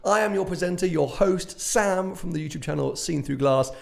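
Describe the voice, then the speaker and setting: A man hosting a casual podcast while ill, his voice hoarse and gravelly, chatty and often laughing at himself. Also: sits high, moderate pace. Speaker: podcast host. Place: unfinished office showroom, indoors.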